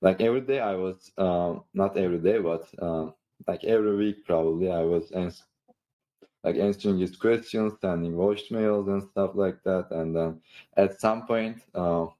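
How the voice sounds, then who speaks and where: A man with a Turkish accent talking casually on a podcast in a halting, rambling way, leaning often on "like". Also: low voice, dark tone, dull audio. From Istanbul, Turkey. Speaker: online creator and coach, podcast participant.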